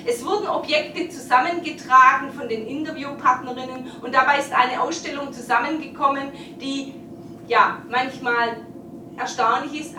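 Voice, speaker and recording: A woman giving a formal, earnest welcome speech as a local official opening an exhibition. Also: high voice. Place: event hall. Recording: echoey hall, distant, noisy.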